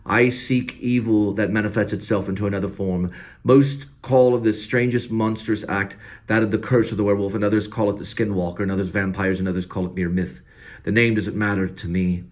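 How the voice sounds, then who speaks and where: Casting: man to play a haunted ex-minister turned monster hunter, grieving father, lowish voice, solemn screenplay monologue. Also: steady tone, a little fast, close to an everyday voice. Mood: mournful, earnest. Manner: wordy, solemn, repetitive monologue delivery.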